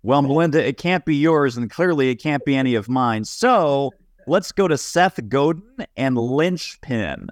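A man with a medium-pitched voice, talking conversationally as a guest on a business panel. The recording is close and dry, free of room echo. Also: animated, measured pace. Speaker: podcast guest, business author.

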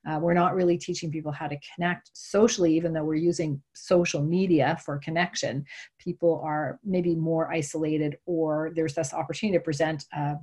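Husky low-voiced woman expert guest. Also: darkish tone. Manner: measured and informative, frequent "um" fillers. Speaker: workplace mental health specialist, guest. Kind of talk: explanatory interview answers.

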